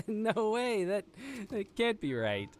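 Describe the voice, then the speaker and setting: An actor playing an eager, respectful young monster hunter in a video game cutscene. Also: male voice, sits high, very animated, very slow, a little hiss or hum, exaggerated voice. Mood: disbelieving.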